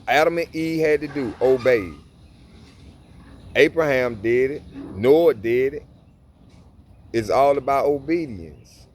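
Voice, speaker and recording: A man's voice: medium pitch, a little mellow, slight background noise. Man preaching casually over a reading. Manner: casual preaching, restarts and repeats words. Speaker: Bible teacher. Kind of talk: informal Bible reading and commentary.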